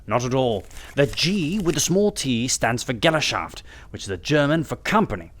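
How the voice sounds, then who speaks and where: Audiobook detective, male, acted out: brilliant and self-assured, mid-pitched with lively swings, precise and didactic. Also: moderate pace. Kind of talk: audiobook character acting.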